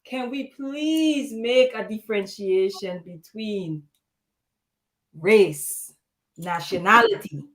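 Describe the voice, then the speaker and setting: A woman from the U.S. Virgin Islands hosting a live panel, telling stories in an animated, emphatic way. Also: mid-range voice, slow. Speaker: host.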